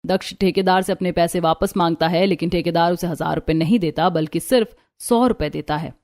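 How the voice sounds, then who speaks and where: A woman's voice: lowish voice, fairly quick, a little mellow, dry recording, clean studio sound. A woman reading scripted storytelling narration for a cartoon.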